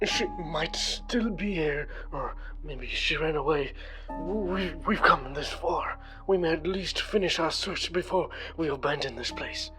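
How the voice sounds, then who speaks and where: A man playing a proper, irritable young academy man in a narrative podcast drama, with formal, measured phrasing. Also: on the high side, close to an everyday voice, poor audio. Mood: urgent. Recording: background music under voice.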